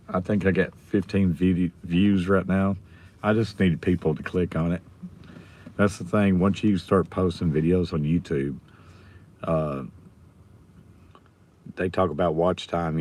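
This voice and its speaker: A middle-aged man with a hoarse, raspy voice, casually chatting with viewers on his live stream. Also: sits low. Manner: rambling, chatting with viewers by name.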